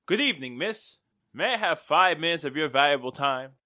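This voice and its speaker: Man playing a wisecracking, lively 1940s radio pal. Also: fairly high pitch, fairly quick, dry recording, clean studio sound. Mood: jokey salesman patter. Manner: jokey, theatrical patter. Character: wisecracking, playful man friend of a newspaperwoman.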